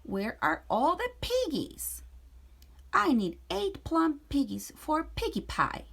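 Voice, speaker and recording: A woman's voice: high-pitched, very animated, slow, creaky, a bit bright, pitched above the performer's own voice, heavily acted. A woman voicing a greedy, impatient old witch who screeches and cackles. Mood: demanding.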